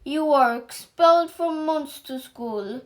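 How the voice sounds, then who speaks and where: Woman doing a booming stern ogre headmaster. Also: expressive, slow. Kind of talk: storybook reading.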